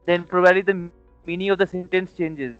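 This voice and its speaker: A man's voice: sits high, steady tone, a little fast, clear, resonant tone, no echo, narrow, tinny audio, faint music behind. A man whose first language is Nepali, hosting a radio interview and talking hesitantly with many fillers. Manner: hesitant, filler-heavy phrasing. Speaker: radio show host. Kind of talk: conversational interview.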